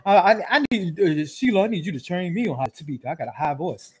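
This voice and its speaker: A woman's voice: lowish voice, animated, brisk, a little mellow, slightly rough. A woman giving casual, profane roast commentary as a show host, brash and mocking, rambling and repeating her phrases.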